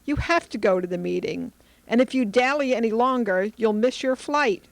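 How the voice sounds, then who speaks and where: A woman's voice: fairly high pitch, normal speed, creaky, no echo, poor audio. A woman playing a doting, self-sacrificing elderly mother in an old-time radio drama. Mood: fussing, insistent. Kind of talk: Golden Age radio drama acting.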